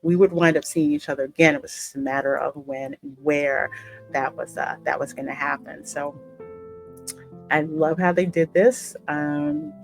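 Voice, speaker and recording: Deep-voiced woman in casual discussion. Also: expressive, normal speed, vocal fry, faint music behind. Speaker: gaming channel co-host. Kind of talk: casual reaction chat about a video game.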